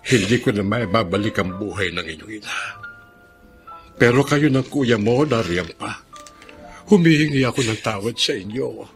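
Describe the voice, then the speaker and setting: A man's voice: on the low side, expressive, normal speed, faint music behind. An actor playing a remorseful estranged father, pleading earnestly in a Filipino radio drama.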